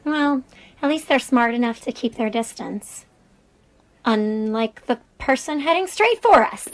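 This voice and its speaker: A woman's voice: fairly high pitch, expressive, unhurried, slight background noise, rough recording. An actress playing an earnest, slightly wary young woman, questioning and hesitant in casual audio drama banter. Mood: polite, wry.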